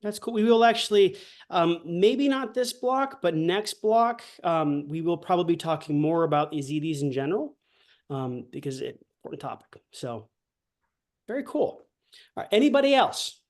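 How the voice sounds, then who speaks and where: A male language instructor leading an online class over a video call, talking in a relaxed, halting way with many fillers. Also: on the high side.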